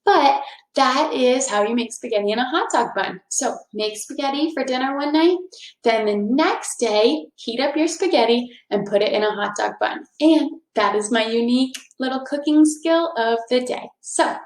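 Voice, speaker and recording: A woman hosting a read-aloud for children, speaking in an encouraging, deliberate storytelling manner. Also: fairly high pitch. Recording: echoey, reverberant room.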